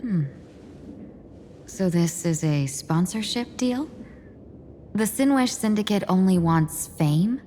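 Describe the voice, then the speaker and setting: A woman's voice: mid-range voice, animated, slow pace, pauses often, warm tone, slight background noise. A woman playing a composed, careful young female agent in a video game, speaking in a formal, polite and wary way. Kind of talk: video game story dialogue.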